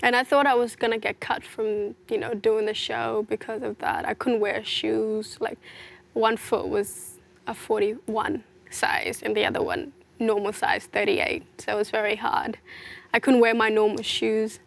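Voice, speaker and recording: Young woman with a gravelly edge. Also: a little high, little pitch movement, unhurried. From South Sudan. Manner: reflective, earnest, many "you know" fillers. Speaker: interview guest, fashion model. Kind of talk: casual personal interview.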